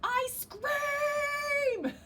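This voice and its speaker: A woman voicing a cheeky, blunt young male waffle in a children's story, with drawn-out delivery. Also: very high voice, very noisy, pitched above the performer's own voice, full character voice. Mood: shouting.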